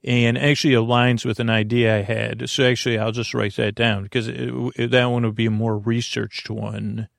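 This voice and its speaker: Male sleep podcast host, gravelly and earnest, rambling with stop-start phrasing, recorded close and dry. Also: mid-range voice, level tone, no echo. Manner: halting, meandering, trailing off mid-sentence. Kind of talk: rambling, informal bedtime podcast monologue.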